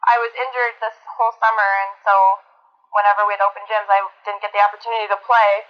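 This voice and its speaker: A young woman, a college basketball player and team captain, with a high-pitched voice, talking earnestly and chattily with many fillers and restarts. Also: little pitch movement, fast talker, dull audio. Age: young adult. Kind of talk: casual interview answers.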